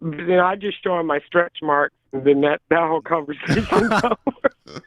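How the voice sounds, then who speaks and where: A woman on a call, talking emphatically in casual chat and often repeating herself, with a lower-register voice. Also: normal speed, dry recording. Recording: tinny phone line, dry.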